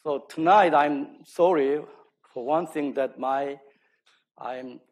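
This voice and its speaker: A man from South Korea with a Korean accent, a native Korean speaker, giving a public academic lecture in halting, self-deprecating English. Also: a little high, low-quality recording. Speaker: lecturer, archaeology scholar. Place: speaking live to an audience at an event.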